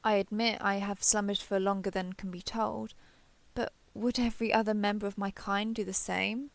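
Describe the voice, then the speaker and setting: Woman playing a proud, creaky-voiced ancient female dragon. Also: mid-range voice, fairly even intonation, normal speed, no room sound, lightly acted. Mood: resolute. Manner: formal, archaic, haughty phrasing. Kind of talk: scripted fantasy roleplay audio.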